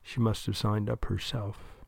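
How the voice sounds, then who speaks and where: A man voicing a slow, confused teenage boy who is new to debate and answers hesitantly. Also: fairly low pitch, flat delivery, gravelly, darkish tone, slightly rough, dry recording, exaggerated voice. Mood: puzzled. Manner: hesitant, blank, slow on the uptake.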